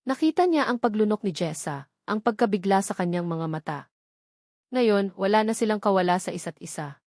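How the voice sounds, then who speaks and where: A woman reading a scripted romantic story in a measured, dramatic way. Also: medium pitch, animated, darkish tone, dry recording, studio quality. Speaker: narrator.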